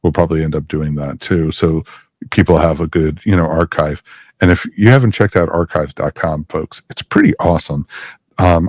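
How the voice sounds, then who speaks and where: A man's voice: low-pitched, darkish tone, dry recording. A man hosting a podcast in casual chat, rambling with lots of fillers. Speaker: podcast host.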